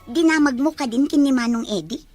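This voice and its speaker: A woman's voice: high voice, fairly quick, a little mellow, soft background music, lightly acted. A woman playing a probing, insinuating confidante in an audio drama.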